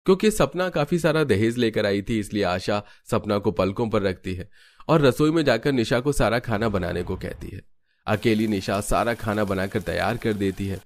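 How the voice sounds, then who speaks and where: A man's voice: on the low side, lively intonation, moderate pace, dry recording, soft background music. A man reading scripted narration for a cartoon family story.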